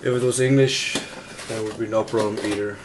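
A man's voice: fairly low pitch, fairly even intonation, brisk, very noisy. A man with a Dutch accent giving casual unboxing commentary, thinking aloud in a hesitant way. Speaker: tech reviewer. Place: indoors at a desk in a room.

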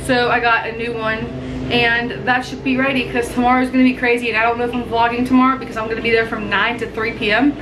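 A woman's voice: on the high side, flat delivery, fast, background noise. A young woman from Pennsylvania chatting casually through a grocery haul vlog in her home kitchen, talking to a handheld camera at arm's length. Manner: chatty, bubbly, teasing. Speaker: vlogger.

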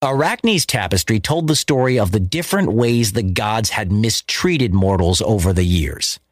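A man's voice: lowish voice, expressive, moderate pace, dry recording, studio quality. A man telling a myth as a storyteller, in theatrical, emphatic scripted narration.

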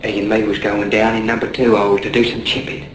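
A man's voice: lowish voice, flat delivery, fast talker, natural voice. An actor playing a plain-spoken, sober merchant seaman in a radio drama. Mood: grave. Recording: roomy, noisy radio drama.

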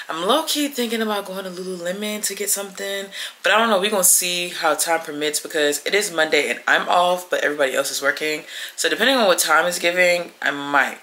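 A woman's voice: low voice, pushed and bright. A woman in her twenties chatting informally to camera in a casual vlog style. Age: 20s. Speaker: vlogger.

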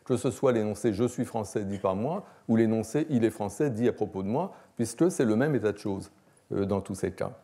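Male academic lecturer, 60s. Relaxed dark timbre, measured explanatory delivery with hesitations. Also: on the low side. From France. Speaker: philosophy professor. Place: lecture hall, at a lectern.

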